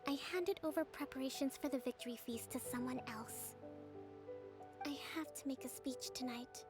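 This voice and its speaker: A woman voicing a gentle, tired young priestess leader in a game, speaking softly, often in a breathy near-whisper. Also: high voice. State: worn out. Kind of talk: game voice acting.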